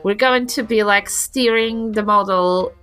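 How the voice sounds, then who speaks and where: Woman, casual game chat, slow pace, hesitant phrasing, bright timbre, light music bed. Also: mid-range voice, clear, resonant tone, rough recording. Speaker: tabletop game player. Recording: faint music behind the voice.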